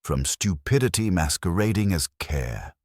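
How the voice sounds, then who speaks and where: A man acts out an imperious, exacting male detective in an audiobook mystery. The voice is very low and husky, formal and mild, each phrase placed with cutting precision. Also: very animated, unhurried, dry recording, clean studio sound, full character voice. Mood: coolly accusing.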